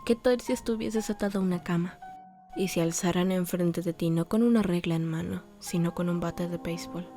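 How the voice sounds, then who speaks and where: A resentful teenage boy, acted by a woman, confides in his diary with formal, measured bitterness. The voice sinks low and quiet over music, as in a cartoon drama retelling. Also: close to an everyday voice. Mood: cold, menacing. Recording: music under the voice.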